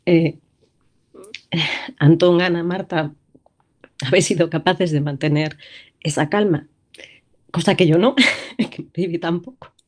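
A woman who is the voice-over of a radio show, speaking in a tribute with a broken, trembling, tearful voice, halting and restarting with small nervous laughs. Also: lowish voice. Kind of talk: emotional tribute on live radio.

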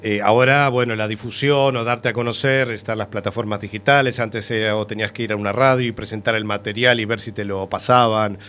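A man's voice: on the low side, fairly even intonation, a little fast, few pauses, fairly bright tone, soft background music. A man hosting a casual interview, chatting along and chiming in with short prompts. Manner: conversational, chimes in with short prompts. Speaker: radio host.

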